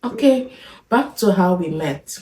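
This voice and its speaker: Nigerian woman, thirties, mellow low voice, recorded from far off. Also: expressive, a little slow. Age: 30s. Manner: chatty, laughs often. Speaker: vlogger. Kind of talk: casual chat. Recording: echoey room from far off.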